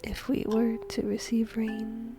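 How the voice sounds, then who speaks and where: A woman playing a whimsical death god in a whispered ASMR roleplay, gentle, musing and playful, breathy and close to the microphone. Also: on the high side, completely flat delivery, slow pace, over music. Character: whimsical female shinigami death god fond of humans.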